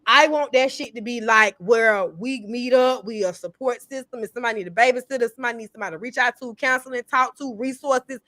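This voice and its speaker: A woman's voice: on the high side, quick pace, hardly any pauses, bright, forceful tone, no echo. A woman in her thirties chatting casually on a panel, blunt and emphatic, with a lot of swearing. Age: 30s. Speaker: podcast panelist. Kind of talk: casual chat.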